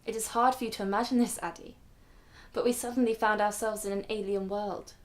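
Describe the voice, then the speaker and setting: A dignified, weary grandmother, acted by a woman recalls her journey for a radio drama. Her voice sits at a middle pitch, and she tells it in a measured, solemn way. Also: steady tone, lightly acted. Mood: patient, earnest.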